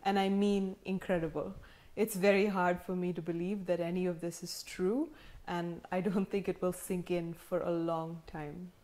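A woman in her thirties, heavily pregnant, delivering a sincere, measured acceptance speech with gentle humor, recorded at home in her living room. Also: lowish voice, little pitch movement. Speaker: prize winner giving acceptance speech. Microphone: camera in front, seated framing.

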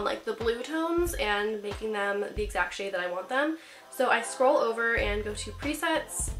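A woman's voice: mid-range voice, bright, forceful tone. A young woman in her twenties, getting over a cold, chatting upbeat with lots of "like" as she vlogs from her apartment bedroom. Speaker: lifestyle vlogger. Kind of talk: casual chatty tutorial. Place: bedroom in a small apartment, seated on bed.

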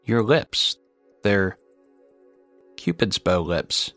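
A flirtatious man played by a man in an audio story speaks slowly in a fairly low voice. The sound is dry and close. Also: a bit bright, no room sound, soft background music, exaggerated voice. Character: man delivering a flirtatious compliment. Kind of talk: scripted story reading.

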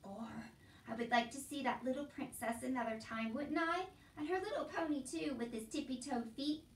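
A woman's voice: sits high, moderate pace, echoey room, distant mic. A woman voicing a naive seven-year-old peasant girl with simple, rustic speech, as in a storybook reading. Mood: wistful, awed.

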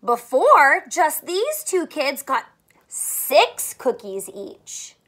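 High, bright-voiced young woman teaching kids. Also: very slow. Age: young adult. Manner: animated, simple questioning addressed to young children. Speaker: teacher reading aloud to children. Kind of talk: children's read-aloud with a math lesson. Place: small furnished room indoors.

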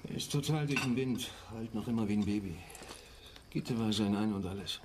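A man playing a gentle, evasive father and husband in a naturalistic radio drama, soft and hesitant. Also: lowish voice, a little fast, a little hiss or hum, natural voice. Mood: subdued.

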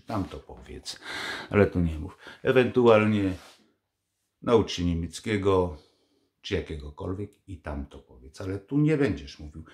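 An older man vlogging from his living room to a close camera, scolding and mocking in a sarcastic tone. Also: low voice. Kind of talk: angry political commentary to camera.

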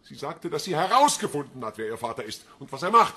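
An actor playing a polite, evasive man under police questioning in a crime radio play, speaking in measured, defensive sentences. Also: a little high, big pitch swings, pushed and bright, husky, exaggerated voice. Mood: guarded. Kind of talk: radio crime drama acting.

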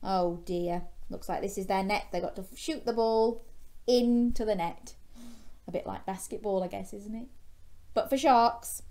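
A young woman reading a children's storybook in a gentle, expressive way, chatting with young listeners through questions. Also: on the high side. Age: young adult. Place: small bedroom, indoors.

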